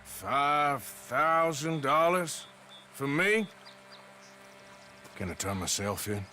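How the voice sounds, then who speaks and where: An actor playing a weary, wry middle-aged outlaw with a gruff, dry American frontier drawl, in a game cutscene. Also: male voice, on the high side, animated, drawn-out words, sharp tone, a little hiss or hum, heavily acted. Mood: guarded, wry. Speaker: outlaw protagonist. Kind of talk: video game cutscene acting. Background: game score music.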